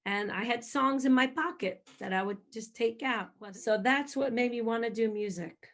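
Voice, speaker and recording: Woman singer, high-ish voice, lively hyper storyteller, interview guest. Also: normal speed. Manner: animated storytelling, self-described hyper. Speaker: singer interviewed about faith. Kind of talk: casual interview answers.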